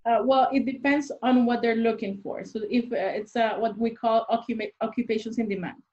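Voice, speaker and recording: A woman's voice: a little high, steady tone, a little fast, dark tone. A young adult woman, an immigration consultant, explaining the rules step by step with worked examples in an interview over a video call from a small room. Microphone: video call webcam. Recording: dull lo-fi video-call audio.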